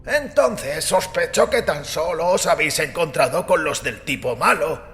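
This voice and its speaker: Kindly old priest for an audio story, high voice, very polite old-timey talk, music under it. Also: male voice, expressive, moderate pace, fairly bright tone, big-room sound. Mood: wry. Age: older. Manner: courteous, formal archaic speech. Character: elderly arthritic priest, gentle, good-humored, last of his church. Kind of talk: audiobook character acting.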